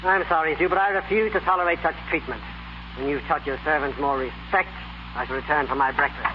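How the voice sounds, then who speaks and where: A man's voice: on the high side, fairly quick, lightly acted. Man playing a pompous upper-class snob, muffled recording. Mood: indignant. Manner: pompous, formal, clipped indignation. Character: haughty, indignant upper-class male house guest. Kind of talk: 1930s radio comedy acting. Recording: noisy, muffled 1930s radio recording.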